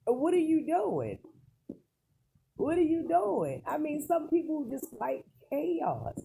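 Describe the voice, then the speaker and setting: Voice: high-pitched, huge pitch swings, slow, mellow. A commentator giving casual, rambling opinions in an emphatic, colloquial way, often throwing in rhetorical questions. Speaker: online celebrity news commentator. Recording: clearly noisy recording with clicks.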